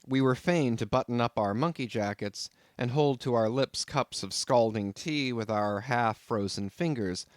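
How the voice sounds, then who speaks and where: A man reading a classic novel aloud as scripted narration, in a measured, expressive storytelling manner. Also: mid-range voice, no room sound, clean studio sound. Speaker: audiobook narrator.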